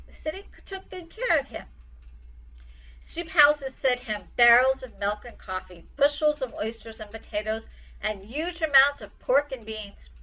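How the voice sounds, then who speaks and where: A woman storyteller with a fairly high, bright and forceful voice reads a children's tale aloud. Her delivery is dramatic and emphatic. The recording sounds a little dull. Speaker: storytime reader.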